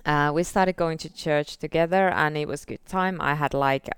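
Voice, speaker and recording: Casting note: Finnish woman in her forties, low voice, smooth and clear, soft-spoken guest sharing her testimony, dry close sound. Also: normal speed, no echo. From northern Finland. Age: 40s. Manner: soft-spoken, many fillers like "like" and "uh". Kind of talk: casual testimony interview.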